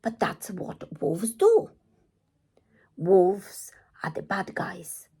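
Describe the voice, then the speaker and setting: Woman voicing a husky, cunning storybook wolf. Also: mid-range voice, sing-song, drawn-out words, dark tone, heavily acted. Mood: puzzled, indignant. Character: cunning hungry male wolf, sly then fierce. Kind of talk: children's storybook character voice.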